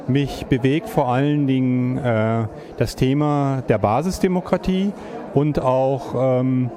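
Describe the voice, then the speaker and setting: A congress attendee answering an interview earnestly, searching for words. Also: male voice, mid-range voice, slow pace, crowd noise.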